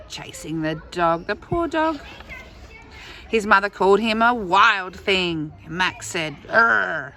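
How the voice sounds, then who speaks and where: A woman reading a picture book aloud to young children in an expressive manner, drawing out key phrases and adding little asides about the pictures. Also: mid-range voice. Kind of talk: animated read-aloud with asides to young listeners.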